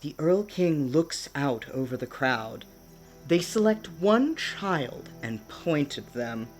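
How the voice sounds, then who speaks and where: A woman's voice: low-pitched, expressive, slow pace, soft background music. A woman reading scripted narration and cast credits as the narrator of a radio play.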